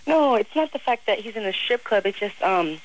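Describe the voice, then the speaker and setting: A man's voice: high voice, lively intonation, a little fast, slight background noise, poor audio. A young man voicing a young woman who calls a radio advice show about her cheating boyfriend, in a convincing, giggly female voice. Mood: worried. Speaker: prank caller.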